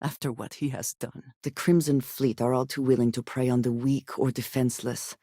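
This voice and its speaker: Game companion role: intense young spacefaring woman, guarded temper, formal measured diction, very dry studio sound. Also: rumbling low, steady tone, mellow, slightly rough, no room sound. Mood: stern, reproachful. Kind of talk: video game character dialogue.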